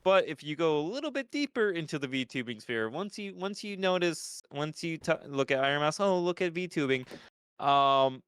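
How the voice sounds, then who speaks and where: A man's voice: a little high, animated, normal speed, a bit bright, smooth, no room sound. A young man with a stuffed-up nose, chatting on a podcast in a rambling way, with lots of restarts and casual swearing. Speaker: podcast host.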